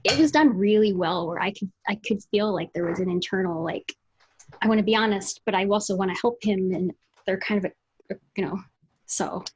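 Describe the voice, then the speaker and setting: A woman speaks as co-host of a casual book chat on a video call. Her voice is husky, mid-pitched, and she keeps restarting and rewording her thoughts. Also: a little fast, a little mellow, highs cut off. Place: indoor room at home, video call.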